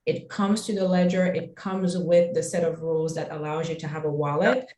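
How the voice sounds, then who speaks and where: Low-voiced woman in an echoey room. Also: fairly even intonation, background noise. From Haiti. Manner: measured, halting, with frequent restarts. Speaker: fintech founder on a webinar panel. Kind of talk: panel discussion answer. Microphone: webinar call microphone. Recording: roomy echo on a video call.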